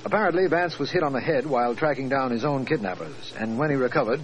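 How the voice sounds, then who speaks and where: District attorney for vintage radio drama male actor: formal, orderly, medium pitch, faint recording noise. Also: lively intonation, fast talker, natural voice. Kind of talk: 1940s radio drama narration and acting. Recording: noisy 1940s radio recording.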